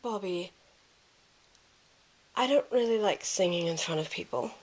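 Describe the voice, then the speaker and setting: A woman playing a caring, reserved young woman in an amateur audio drama, soft-spoken and reassuring. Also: mid-range voice, a little slow, poor audio. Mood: tender, reserved.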